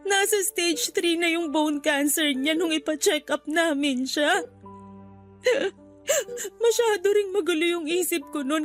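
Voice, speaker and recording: A high-voiced, guilt-ridden mother speaks through tears in an emotional radio drama. Her voice breaks with sorrow over soft background music. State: crying, voice breaking. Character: tearful, guilt-ridden mother of a dying child.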